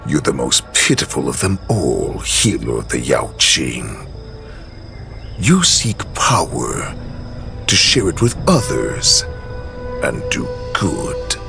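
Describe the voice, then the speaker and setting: A gravelly, menacing wolf-beast warlord. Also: male voice, extremely low pitch, wildly swooping pitch, sharp tone, full character voice. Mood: taunting. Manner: slow, taunting, threatening. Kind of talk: video game cutscene acting. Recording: game cutscene with music behind.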